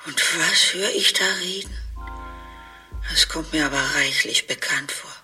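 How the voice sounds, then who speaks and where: An actress playing a catty, sharp-tongued middle-aged half-sister in a radio play, speaking with a breathy voice and a sarcastic, gossipy manner. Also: female voice, low-pitched, measured pace, soft background music, exaggerated voice.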